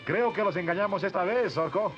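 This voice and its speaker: A man's voice: high-pitched, fairly quick, lightly acted. A man with a Latin American accent voicing a good-natured, assured young hero prince in a cartoon. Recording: dull dub under loud cartoon music.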